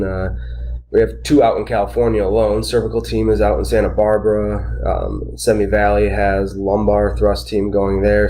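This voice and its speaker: Low-voiced nasal man hosting a podcast. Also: slight background noise, poor audio. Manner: relaxed, rambling with many fillers. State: nasal from a sinus infection. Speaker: podcast host. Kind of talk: casual live educational podcast.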